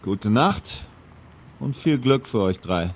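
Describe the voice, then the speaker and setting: Man playing glib Prussian immigrant, German accent, hard drinker, wry, medium-pitched voice, historical drama. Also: lively intonation, takes it slowly, slight background noise. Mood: weary, wry. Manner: glib, sardonic.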